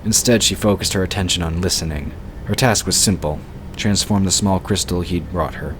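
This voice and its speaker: A man narrating a scripted fantasy story in a measured storytelling manner. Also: low voice. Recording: noisy, with water sound effects behind. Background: rushing water ambience.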